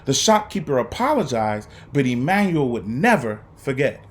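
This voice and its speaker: A man reading a children's picture book aloud, phrasing it dramatically and deliberately with emphatic pauses. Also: mid-range voice, very animated. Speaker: storybook reader.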